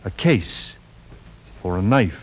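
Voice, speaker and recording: A man narrating a suspense tale as a radio actor, grave and deliberate in a theatrical 1940s style. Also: deep voice, sing-song, full character voice. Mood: tense, urgent. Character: grave, ominous male storyteller of a horror tale. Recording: muffled 1940s radio recording with music.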